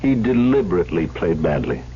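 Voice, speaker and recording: An actor playing a bitter, domineering pianist and harsh, sarcastic teacher in an old-time radio drama. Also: male voice, low-pitched, big pitch swings, brisk, a little hiss or hum. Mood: subdued, rueful. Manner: scathing, sarcastic, barking commands. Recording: dull vintage radio broadcast, music behind.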